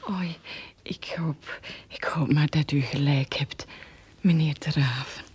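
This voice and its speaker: A woman playing an anxious, naive old widowed mother in a radio play, breathy, hesitant and stammering. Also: sits low, takes it slowly, darkish tone, full character voice. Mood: fretful, hesitant.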